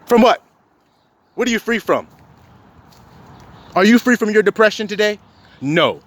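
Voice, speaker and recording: A man's voice: high-pitched, a bit bright, dry recording. A man delivering a profane, confrontational rant as a self-styled preacher, quoting scripture and firing rhetorical questions at his listeners. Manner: confrontational, fires rhetorical questions, heavy swearing.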